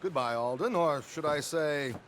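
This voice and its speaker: Theatrical, high-voiced gentleman conman played by a man. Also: normal speed, slight background noise, close to an everyday voice. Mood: smooth persuasion. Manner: pompous, florid, affected politeness. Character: theatrical, flamboyant gentleman conman, slippery and self-consciously eloquent. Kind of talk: video game cutscene dialogue.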